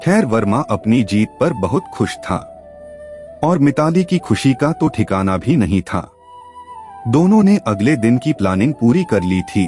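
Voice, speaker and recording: A man narrating a scripted audio story with a dramatic, expressive delivery. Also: medium pitch, measured pace. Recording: music behind the voice. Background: background music.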